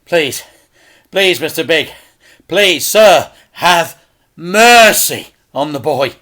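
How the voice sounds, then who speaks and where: Guy doing a worried soft-hearted dad from a kids story, hesitant, pitch all over the place. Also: expressive, drawn-out words, full character voice. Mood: pleading. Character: anxious, hapless, loving dad.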